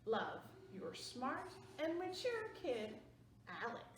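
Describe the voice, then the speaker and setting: An eager little boy pleads and bargains in a lively, high voice, as a woman reads his letters aloud. The voice sounds roomy and a bit distant. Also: takes it slowly, a little hiss or hum, heavily acted. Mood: pleading. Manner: pleading, earnest. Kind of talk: picture book read-aloud.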